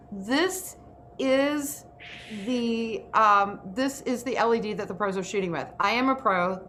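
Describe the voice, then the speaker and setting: A woman's voice: medium pitch, fairly bright tone, a little hiss or hum. A woman hosting an informal online workshop, speaking in an emphatic, enthusiastic way. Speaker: host of an actors' workshop.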